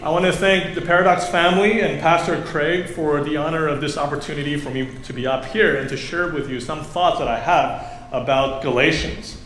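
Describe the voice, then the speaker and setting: A man from Taiwan giving a conversational sermon as a guest preacher, pausing often with fillers. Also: on the high side, fairly even intonation, a bit bright, a little hiss or hum. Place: church sanctuary. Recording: echoey church room, faint ambience. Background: congregation.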